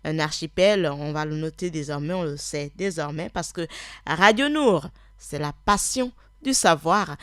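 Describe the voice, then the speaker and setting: A woman's voice: on the low side, no room sound. A woman whose first language is Punu, hosting a radio program in an unscripted, hesitant way, full of restarts and "alors". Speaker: radio host. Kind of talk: radio talk presentation.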